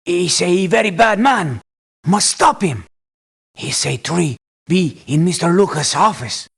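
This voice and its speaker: A simple, earnest islander from a video game, pleads in halting broken English. The voice is gravelly and husky, pitched a little high for a man, with words dropped as he urgently warns. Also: expressive, fairly bright tone, heavily acted. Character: simple native islander man warning urgently about a villain. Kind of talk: video game character voice clips.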